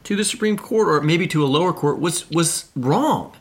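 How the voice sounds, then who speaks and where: A man in casual interview discussion, explaining haltingly with repeated words. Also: a little high, expressive.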